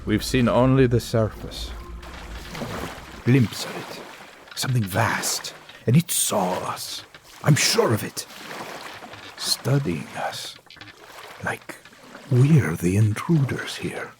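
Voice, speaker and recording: A man's voice: medium pitch, expressive, takes it slowly, long pauses. A man playing a brooding, awestruck professor, narrating a sea voyage in solemn, dramatic first person. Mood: awed, uneasy. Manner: solemn, dramatic, hushed intensity. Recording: noisy sea and boat ambience.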